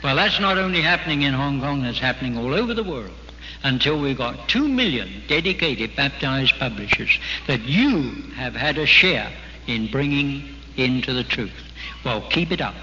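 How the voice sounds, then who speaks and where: A man's voice: mid-range voice, lively intonation, moderate pace, bright, forceful tone, slight background noise. A man giving an earnest, exhorting sermon at a religious convention. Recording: dull archival recording in a big hall.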